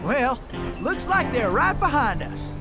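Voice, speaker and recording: A man voicing a chivalrous, cheerful cowboy hero in an animated game, with a folksy American Western drawl. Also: very high voice, a little slow, loud music behind the voice, heavily acted. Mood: gallant. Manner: folksy, courteous. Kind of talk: animated video game acting.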